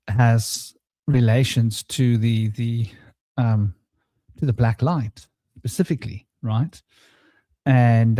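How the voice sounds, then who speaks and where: A man hosting a casual spiritual round-table chat, rambling and relaxed, with a somewhat droning voice and many fillers. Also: mid-range voice, no echo. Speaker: host. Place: home studio.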